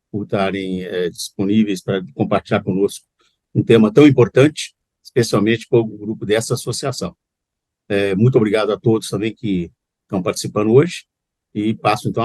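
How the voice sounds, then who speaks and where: A man's voice: lowish voice, a little mellow, dry recording. A man representing an association, opening an online live with polite, hesitant thanks. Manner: hesitant, polite, many "é" fillers. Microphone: video call.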